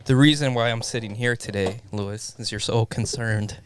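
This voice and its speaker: A man's voice: medium pitch, normal speed, a little hiss or hum. A man hosting a casual podcast with friends, laughing and swearing, getting emotional. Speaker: podcast host. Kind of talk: casual chat among friends.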